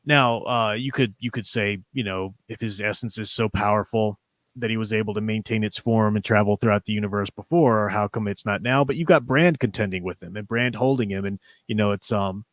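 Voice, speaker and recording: A man's voice: on the low side, a little fast, a bit bright, no echo. A man hosting a casual livestream book discussion, talking in an enthusiastic, conversational way. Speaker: show host reading chat messages.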